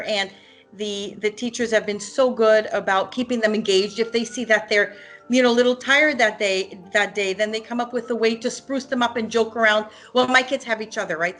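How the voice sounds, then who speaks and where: Woman in her forties from Hungary, parent testimonial, halting with frequent ums, faint music behind. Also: on the high side, fairly bright tone. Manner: hesitant, many ums and restarts. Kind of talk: unscripted testimonial.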